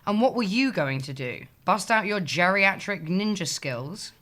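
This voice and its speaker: A woman's voice: on the low side, expressive, unhurried, a bit bright. A woman playing a sardonic, irritable office worker, dry and blunt, in an audio sitcom.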